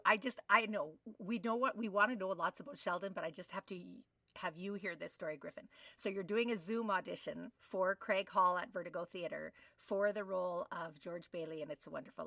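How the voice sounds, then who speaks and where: A woman's voice: on the low side, normal speed, creaky, pushed and bright. A middle-aged woman co-hosting a casual interview chat, recorded at a distance in a room. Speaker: podcast co-host. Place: home, on a remote call. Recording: roomy, distant from the mic.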